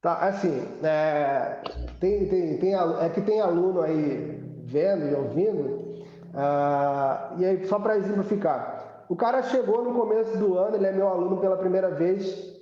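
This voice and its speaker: A Brazilian man, a history teacher, explaining his methods in a casual, halting way and posing questions to himself. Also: high voice. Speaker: public school history teacher. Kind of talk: casual live online discussion. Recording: very echoey room, dull online audio.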